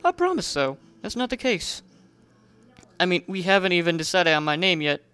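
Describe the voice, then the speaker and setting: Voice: mid-range voice, lively intonation, normal speed, fairly bright tone, clean tone, close to an everyday voice. A story reader voicing a bookish, easily flustered young female cartoon pony who repeats words anxiously. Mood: embarrassed denial.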